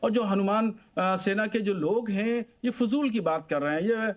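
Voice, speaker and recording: A man speaks as a cleric in a live news debate. His voice is high for a man. He argues emphatically, repeating points and posing rhetorical questions. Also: moderate pace.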